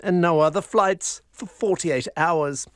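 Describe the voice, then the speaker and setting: A man delivering a scripted radio news report in a crisp, measured way. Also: fairly high pitch, dry recording, studio quality.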